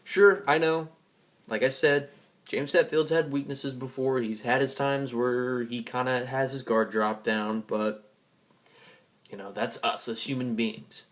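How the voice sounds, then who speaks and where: A man's voice: mid-range voice, fairly even intonation, a little slow. A man giving a rambling personal monologue to an audience in an emphatic, defiant, profanity-laced way. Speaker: vlogger and music fan.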